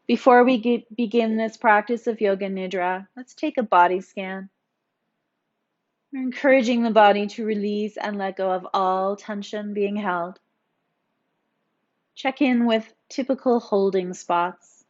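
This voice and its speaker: A woman yoga instructor narrating a guided meditation in a soothing, gently encouraging, instructional manner. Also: medium pitch, measured pace, lo-fi sound.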